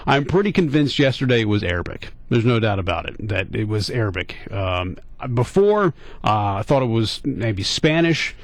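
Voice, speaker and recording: A man's voice: lowish voice, big pitch swings, moderate pace, no room sound. A middle-aged American man hosting talk radio, telling a casual story in a conversational, questioning way and repeating points for emphasis. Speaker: radio talk show host. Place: radio studio.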